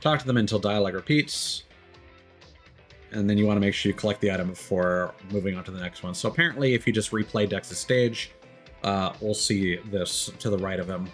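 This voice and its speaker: A man's voice: lowish voice, brisk, soft background music. A man giving casual livestream commentary on a video game, rambling and thinking aloud.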